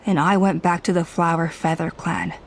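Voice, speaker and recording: A woman's voice: lowish voice, level tone, moderate pace, slight background noise, natural voice. An actress playing a proud clan elder and bereaved mother in a video game, confessing wistfully and dramatically. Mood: wistful, regretful.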